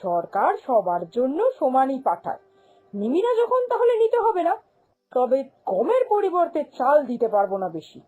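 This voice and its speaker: High-pitched, scornful cartoon shop boss played by a woman. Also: wildly swooping pitch, moderate pace, warm tone, dull audio, slight background noise. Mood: scornful, dismissive. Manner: scolding, dismissive, haughty. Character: greedy, domineering, corrupt middle-aged female ration shop boss. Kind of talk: cartoon fairy-tale voice acting.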